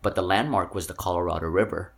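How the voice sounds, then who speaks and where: A man with a fairly low voice chats casually as a podcast co-host. He tells trivia and stories at an easy pace, now and then breaking into laughter. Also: quick pace, vocal fry. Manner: storytelling, animated bursts of laughter. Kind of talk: casual chat.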